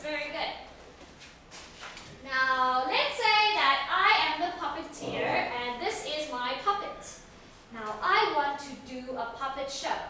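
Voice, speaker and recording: A woman's voice: extremely high pitch, pushed and bright. A woman teaching an English lesson to a young class, asking simple questions, repeating words and praising answers in an encouraging way. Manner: simple instructional phrasing with questions and repetition. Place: echoey classroom, mic at a distance. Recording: very echoey room, distant mic, faint noise.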